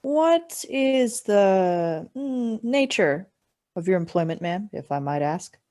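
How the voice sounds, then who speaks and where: A young woman playing a polite, deferential male junior police officer in a radio play, speaking in a courteous, measured, formal way. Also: mid-range voice, animated, mellow. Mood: polite, curious. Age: young adult. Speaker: student actor.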